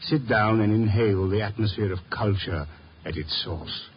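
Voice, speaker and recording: A man's voice: fairly low pitch, moderate pace, natural voice. An actor playing a lofty, theatrical middle-aged sculptor and protective father in a 1950s radio drama, cultured and grandiloquent. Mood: condescending. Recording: muffled 1950s radio, faint noise.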